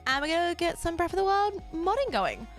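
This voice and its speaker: A young woman streaming a game and chatting casually with her viewers, bubbly and quick to laugh, her voice a little raspy from just waking up. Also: extremely high pitch, sing-song, faint music behind. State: slightly raspy, just woken up. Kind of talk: casual chat with a live stream audience.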